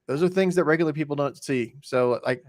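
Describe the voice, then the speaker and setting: A man's voice: on the high side, a little fast, dry recording, clean studio sound. A man co-hosting a podcast, explaining things in casual, colloquial talk. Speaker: podcast co-host. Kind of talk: casual chat, explaining news.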